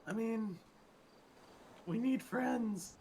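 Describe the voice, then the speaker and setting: A man's voice: very high-pitched, drawn-out words, a little mellow, slight background noise, heavily acted. A man playing a meek, trusting character in an online roleplay game, earnest and hesitant in delivery. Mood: pleading.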